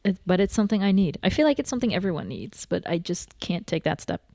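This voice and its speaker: A young woman from the Netherlands, co-hosting a podcast in relaxed, chatty conversation. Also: fairly low pitch, fast talker, creaky, no room sound. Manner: relaxed, chatty, many fillers. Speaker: podcast co-host. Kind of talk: casual chat.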